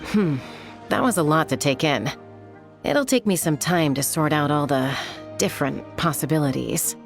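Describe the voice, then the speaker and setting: A woman's voice: deep voice, expressive, normal speed, creaky. A woman playing a thoughtful, self-assured young woman in a video game, wry and earnest, with music behind. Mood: pensive.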